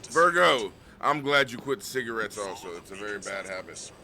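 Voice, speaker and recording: A man in his thirties, a streamer chatting casually, joking and swearing through lively banter. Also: on the low side, normal speed. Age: 30s. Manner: joking, profane, animated banter. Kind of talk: casual chat.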